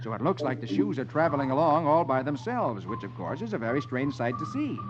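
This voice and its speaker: A man's voice: mid-range voice, fairly quick. A man hosting a 1950s children's radio show, telling stories from the funny pages in a theatrical way. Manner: animated, theatrical. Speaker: host reading the funny pages. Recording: muffled 1950s radio recording, music behind.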